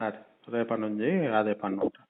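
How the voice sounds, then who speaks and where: A man's voice: on the low side, little pitch movement, a little fast. A man on a phone call, answering a debt collector in a curt, stubborn way. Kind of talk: phone argument. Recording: muffled, narrow-band telephone line recording.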